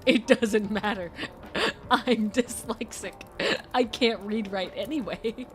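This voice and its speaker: A woman's voice: mid-range voice, takes it slowly. A woman streamer in casual chat, rambling and laughing often with faint music behind her.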